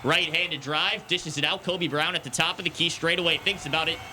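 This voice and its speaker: A young man working as a sportscaster, with a very bright, forceful voice set a little high for a man. He delivers headlines and play-by-play in a broadcast commentary style. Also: steady tone, brisk, a little hiss or hum. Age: young adult.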